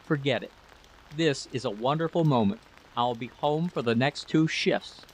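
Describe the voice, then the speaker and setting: A man's voice: mid-range voice, normal speed, slight background noise, lightly acted, rough recording. A man voicing a proud, irritable husband in a story, complacent and brushing off worries. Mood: reassuring. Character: dismissive war-technician husband, proud and irritable.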